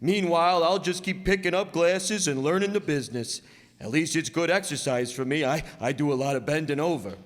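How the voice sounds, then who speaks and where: Man playing a goofy, higher-voiced teenage boy. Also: brisk, lightly acted. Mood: goofy, cheerful. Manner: goofy, earnest, muddled. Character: goofy, good-natured, dim teenage schoolboy busboy. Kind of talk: old-time radio comedy acting. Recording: echoey hall, long reverb.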